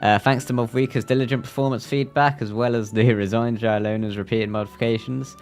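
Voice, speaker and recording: A man's voice: mid-range voice, fairly even intonation, fairly quick, a little mellow. A man giving casual live commentary while playing a video game, sometimes reading game text aloud. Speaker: game livestreamer. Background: game music.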